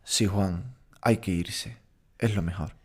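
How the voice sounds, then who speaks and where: Weary, resigned young Spanish bullfighter. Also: male voice, low-pitched, steady tone, slow, darkish tone, husky, full character voice. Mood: sad, resigned. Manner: earnest, resigned, confiding. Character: young star bullfighter, weary, dejected, earnest with his friend. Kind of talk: audio drama dialogue.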